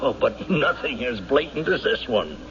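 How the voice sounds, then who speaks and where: Animated, exasperated seasoned lawyer. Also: male voice, medium pitch, moderate pace, slight background noise. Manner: emphatic, argumentative. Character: exasperated, seasoned prosecuting lawyer, cautious and argumentative. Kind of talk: old-time radio drama acting. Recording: muffled vintage radio, crowd murmur behind.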